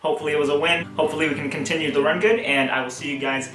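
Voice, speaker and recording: A man's voice: on the high side, fairly even intonation, racing, rough recording. A man in his twenties giving a casual, scripted poker vlog voice-over in a matter-of-fact, explanatory way. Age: 20s. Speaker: poker vlogger and host.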